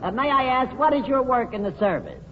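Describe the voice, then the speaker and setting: Male 1940s radio host, polite straight man, animated delivery, long hall echo, loud noisy audience. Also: very high-pitched, brisk, phone-quality sound, over a noisy crowd. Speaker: quiz show host. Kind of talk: 1940s radio comedy hosting.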